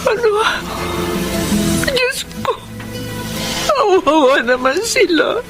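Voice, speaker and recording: A woman's voice: extremely high pitch, very animated, slow, over music, full character voice. A woman playing an anxious, protective middle-aged mother in an emotional Filipino radio melodrama. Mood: frantic, worried. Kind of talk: Filipino radio drama acting.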